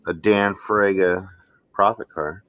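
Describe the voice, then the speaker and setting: A man's voice: steady tone, slow pace, a bit bright, no echo. A man co-hosting a casual livestream chat, offhand and joking. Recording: dull dry low-fi mic.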